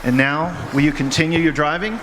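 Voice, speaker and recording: An actor playing a solemn, gentle, mysterious doctor in a radio drama, with measured, formal phrasing. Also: male voice, on the high side, natural voice. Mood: gentle, probing. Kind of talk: stage radio drama acting. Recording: noisy live hall, long reverb.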